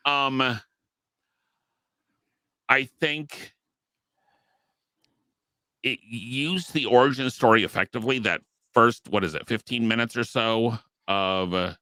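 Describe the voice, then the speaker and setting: A man's voice: mid-range voice, takes it slowly, pauses often, sharp tone, dry recording. A man giving thoughtful, argued opinions as a panelist in a casual podcast discussion.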